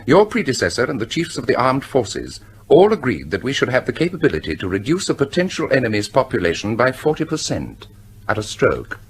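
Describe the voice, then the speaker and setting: An actor playing a cold, self-assured weapons scientist with a British accent, speaking precisely and with detachment in a radio drama. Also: male voice, medium pitch, very animated, fairly quick. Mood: cold, defiant.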